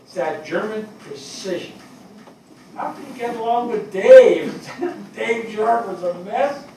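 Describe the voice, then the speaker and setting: A man's voice: high voice, warm tone. Stage reading: older male actor as weary, affectionate fire captain, conversational storyteller, distant and very reverberant. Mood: fond reminiscing. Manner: conversational, storytelling, with pauses and repeats. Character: weary, affectionate fire captain reminiscing about lost men. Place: small theatre stage before an audience. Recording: echoey theatre, far from mic, faint noise.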